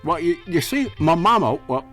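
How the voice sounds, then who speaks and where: A man's voice: on the high side, very animated, normal speed, a bit bright. An actor playing a naive, folksy Mississippi country man in a campy radio comedy, with a Southern accent and a stammering, overeager manner. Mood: folksy, rambling. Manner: stammering, chuckling, overeager, rambling. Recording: music behind the voice.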